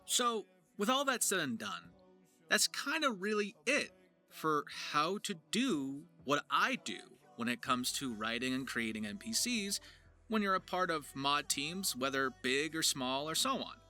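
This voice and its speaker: A man presenting a voice acting tutorial to viewers in an animated, enthusiastic explaining manner. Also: on the high side, unhurried. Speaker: voice actor, writer and video presenter. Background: music.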